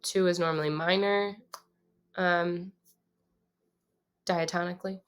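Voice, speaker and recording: A young woman with a low voice, talking through her songwriting process in a relaxed, casual way with many pauses. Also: clean tone. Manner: relaxed, thinking aloud with many ums.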